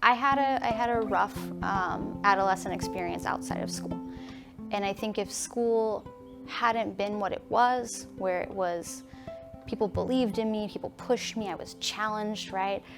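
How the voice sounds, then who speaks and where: A woman high school teacher speaking earnestly and reflectively in a documentary interview. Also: measured pace. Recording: music bed under voice.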